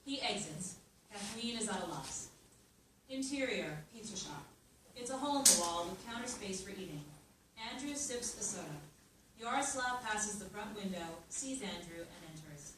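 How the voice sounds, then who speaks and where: A woman reading stage directions aloud at a script table read, in a steady, matter-of-fact way. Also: medium pitch, normal speed. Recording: echoey room, far mic, noise.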